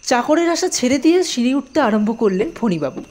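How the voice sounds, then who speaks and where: A woman narrating a scripted audio story in an expressive storytelling manner. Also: high-pitched, fairly quick, a little hiss or hum.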